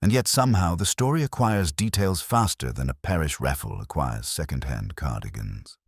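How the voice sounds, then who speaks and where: A man performs a thoughtful police inspector from an audio mystery. He is very deep and formal, with plenty of rise and fall as he delivers dry, mild understatement. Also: lively intonation, slightly rough, no room sound, full character voice. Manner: measured, formal, dryly understated.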